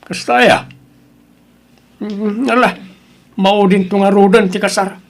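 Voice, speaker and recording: A man's voice: sits high, fairly even intonation, takes it slowly. A man playing a stern, exasperated middle-aged father in an Ilocano audio drama, blunt and questioning, with an Ilocano accent. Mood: grudging, resigned. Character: stern, exasperated father grilling his daughter's boyfriend.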